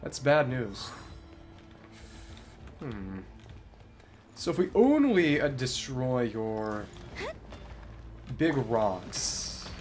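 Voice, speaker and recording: A man's voice: mid-range voice, very animated, very slow, long pauses. A man doing live gameplay commentary as a streamer, talking analytically through tactics to himself. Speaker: game streamer. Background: music behind the voice.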